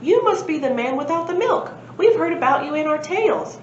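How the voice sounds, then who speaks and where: Woman as a high-voiced, smug little pony. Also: lively intonation, brisk. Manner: sighing, self-assured. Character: clever, fashion-conscious, smug magical ponies. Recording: roomy, dull lo-fi, faint noise.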